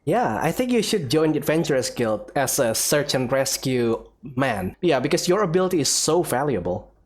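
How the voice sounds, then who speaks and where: A woman's voice: deep voice, big pitch swings, moderate pace, a little mellow. A woman giving casual, wry, laid-back commentary as she plays a video game. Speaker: gamer commentating on playthrough. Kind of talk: casual let's play commentary.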